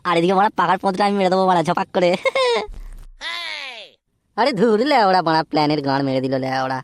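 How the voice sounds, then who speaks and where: A man's voice: high-pitched, lively intonation, fast. A man voicing a foul-mouthed, blustering young thief in a comic cartoon. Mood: brash scheming. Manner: swearing constantly, blustering. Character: foul-mouthed brash young male neighbourhood thief, defiant.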